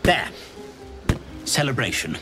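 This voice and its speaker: Surly male gnome, swinging pitch. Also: big pitch swings, takes it slowly, music in the background. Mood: curt, grudging. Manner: curt, grudging. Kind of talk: video game character dialogue.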